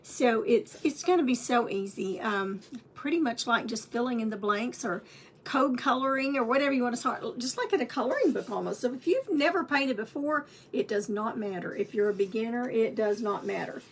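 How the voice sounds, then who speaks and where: A woman with a slightly raspy voice, chatting through a live painting lesson with many ums and restarts. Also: a little high, expressive, moderate pace, slight background noise. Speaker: painting instructor and shop owner.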